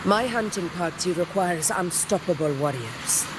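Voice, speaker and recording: An actress playing a proud, commanding gladiator leader in a video game, speaking formally with stately self-assurance. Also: female voice, lowish voice, lively intonation, unhurried, noisy background. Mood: solemn, resolute. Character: proud, commanding female gladiator war leader, regal and battle-hungry. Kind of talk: dramatic video game character dialogue.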